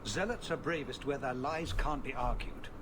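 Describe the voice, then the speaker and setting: A man's voice: mid-range voice, a bit bright, lightly acted. A man acting a weary, formal city ruler. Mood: stern. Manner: formal, measured, world-weary. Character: weary, exasperated city viscount, proud but troubled father. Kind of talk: dramatic video game dialogue. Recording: game audio with clear background noise.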